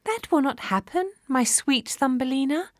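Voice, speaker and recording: A woman's voice: high-pitched, animated, normal speed, a little mellow, no echo, studio quality, voice pushed up. A woman voicing a grateful, caring male swallow in a fairy tale, speaking in an earnest, tender way. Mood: gentle, resolute.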